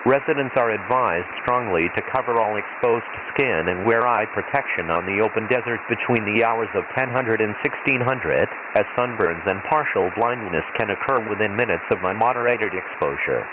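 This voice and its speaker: A hissy radio transmission carries a man acting as an official male weather announcer from a science fiction desert world. His voice is fairly low, filtered like a radio broadcast. He reads hazard warnings in a formal, measured, impersonal way, as a scripted emergency bulletin. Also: lo-fi sound. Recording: hissy, noisy shortwave-style radio transmission.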